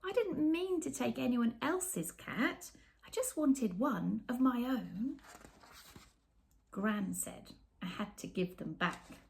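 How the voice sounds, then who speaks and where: A middle-aged woman reading a picture book aloud to children, animated and expressive, with chatty asides and hushed, dramatic phrasing. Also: a little high, gravelly. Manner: animated, dramatic pauses, drops into whispers. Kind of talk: expressive storytime read-aloud with asides to young viewers. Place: small room with bookshelves, indoors.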